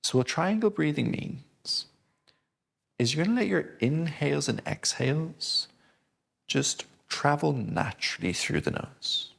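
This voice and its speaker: A man gently guiding a breathing exercise, speaking slowly and soothingly. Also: fairly high pitch, lively intonation, creaky. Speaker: breathing instructor. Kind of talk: guided breathing lesson.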